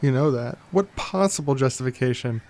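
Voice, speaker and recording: A man's voice: medium pitch, animated, brisk, a little mellow, a little hiss or hum, lightly acted. A man voicing a doting, proud father in a comedy podcast sketch, with exaggerated theatrical delivery. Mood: mock stern.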